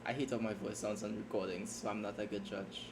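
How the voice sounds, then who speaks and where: Low-voiced man rambling over keyboard clatter. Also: level tone, creaky, noisy background. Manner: rambling, halting, self-deprecating. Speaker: streamer and fan fiction writer. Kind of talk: casual livestream chat while writing. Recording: keyboard typing audible behind voice.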